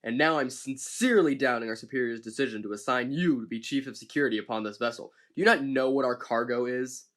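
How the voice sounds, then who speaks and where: A woman's voice: a bass voice, quick pace, hardly any pauses. A woman voicing a cold, distrustful ship captain who talks curtly in an amateur audio drama. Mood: disapproving. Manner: cold, curt, disapproving.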